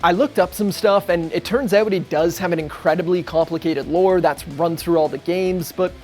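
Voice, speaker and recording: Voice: medium pitch, normal speed, darkish tone. A narrator reading a scripted video essay in a casual, conversational way. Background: background music.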